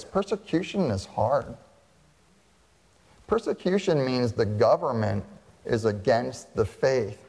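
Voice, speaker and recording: A man's voice: on the high side, darkish tone, big-room sound, rough recording. Man preaching a conversational sermon. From United States. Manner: conversational, asks the audience questions. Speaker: pastor.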